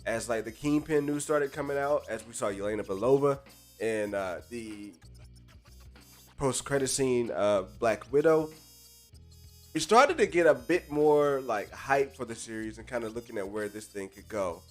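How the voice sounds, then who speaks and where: Man, stuffy nose, under the weather, hyped slangy live-stream host, mid-pitched voice, faint jazz behind. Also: moderate pace. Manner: hyped, slangy, greets chatters by name. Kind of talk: casual live-stream chat. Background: faint live jazz music.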